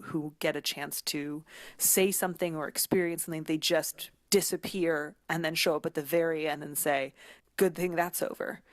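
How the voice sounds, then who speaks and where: Low-voiced woman, thoughtful and halting. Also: no room sound. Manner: thoughtful, halting, searching for words. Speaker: podcast guest commentator. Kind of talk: conversational analysis.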